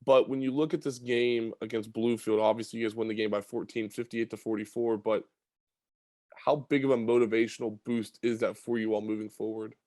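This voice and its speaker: A man hosting a high school basketball podcast, asking long questions padded with fillers. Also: little pitch movement, brisk, darkish tone, dry recording, studio quality. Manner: chatty, filler-heavy questions. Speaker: podcast host. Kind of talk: sports interview podcast.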